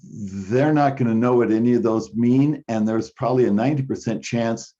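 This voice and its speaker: A man chatting casually in an online meeting, offering his thoughts in a tentative, halting way. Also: mid-range voice, warm tone. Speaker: meeting participant. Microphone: online conference call. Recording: slightly dull conference-call audio.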